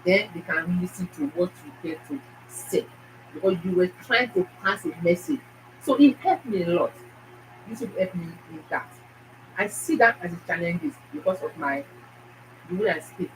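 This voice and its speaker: Nigerian woman vlogging, fast and emphatic, says things twice, mic kinda far off. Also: on the low side, lively intonation, a little hiss or hum. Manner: emphatic, repeats phrases, fast-talking. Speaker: YouTube channel host. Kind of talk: casual motivational talk to viewers.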